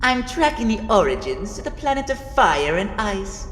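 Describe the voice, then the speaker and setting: A woman voicing a cold, imperious galactic emperor in an animated space story, commanding and disdainful. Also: medium pitch, moderate pace, roomy sound, in a large hall, natural voice. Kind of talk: animated space villain dialogue.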